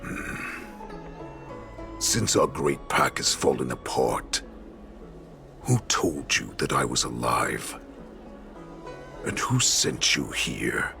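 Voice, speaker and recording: Monstrously deep, gravelly beast warlord. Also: male voice, big pitch swings, music in the background, exaggerated voice. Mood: stern, mocking. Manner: slow, imperious, mocking. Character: ancient wolfish beast warlord, menacing, contemptuous, commanding. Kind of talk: dramatic video game voice acting.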